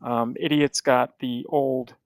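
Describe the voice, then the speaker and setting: A man's voice: medium pitch, steady tone, slow pace, no room sound. A man hosting a podcast, chatting casually in a rambling, profane way with frequent restarts. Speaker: podcast host. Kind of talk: casual chat.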